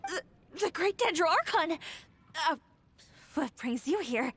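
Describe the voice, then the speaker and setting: A woman's voice: extremely high pitch, sing-song, measured pace, fairly bright tone, a little hiss or hum, full character voice. Hesitant, wistful young townswoman. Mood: flustered. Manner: hesitant, earnest. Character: wistful young townswoman, polite, emotionally fragile. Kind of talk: video game voice acting.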